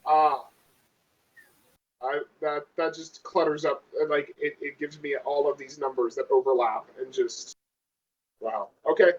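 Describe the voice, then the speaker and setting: A man's voice: fairly high pitch, level tone, unhurried. A man playing a tabletop game online, chatting casually, heard through muffled call audio.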